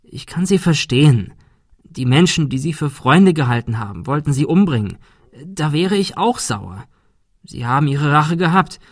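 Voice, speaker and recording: A man's voice: fairly high pitch, animated, normal speed, no echo, clean studio sound, voice pushed up. A man voicing an earnest detective in an audiobook, reasoning urgently with a dangerous opponent. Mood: placating. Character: earnest male detective reasoning with an armed killer.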